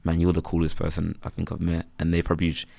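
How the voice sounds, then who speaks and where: A young man with a Birmingham accent, chatting in a relaxed, rambling way as an interview guest. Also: low-pitched, quick pace, creaky, no echo. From England. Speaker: guest, freelance photographer. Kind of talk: casual interview chat.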